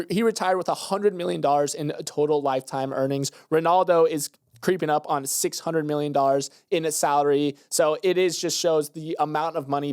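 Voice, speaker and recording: A man's voice: fairly high pitch, a little mellow, clean tone. A man with an American accent giving conversational commentary and banter as a podcast co-host.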